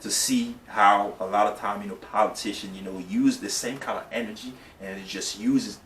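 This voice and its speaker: A man's voice: on the low side, steady tone, normal speed, a bit bright. A man from Haiti, talking as a guest in a casual discussion, earnest and explanatory, often asking if the listener follows. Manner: earnest, explanatory, repeats "you see what I'm saying". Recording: echoey room, mic at a distance, faint noise.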